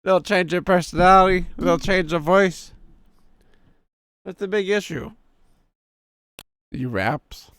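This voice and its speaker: A hoarse man in his thirties with a sore throat, streaming a game and reading its story text aloud in a deeper storyteller voice. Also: unhurried, pauses often, a bit bright, no room sound, clean studio sound. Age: 30s. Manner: drops into a deep storyteller voice for the narration.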